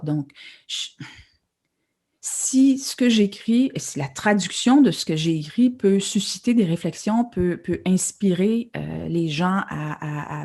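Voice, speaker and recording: Hesitant woman author, mellow voice. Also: lowish voice. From Quebec. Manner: thoughtful, hesitant, many repeated starts. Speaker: novelist. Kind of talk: conversational literary interview.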